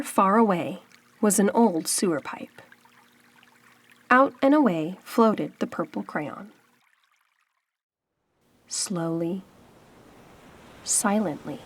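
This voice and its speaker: A woman narrating a children's storybook aloud in a dramatic, suspenseful way with deliberate pauses. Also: mid-range voice, slight background noise. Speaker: storybook reader. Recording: faint background music. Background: faint music.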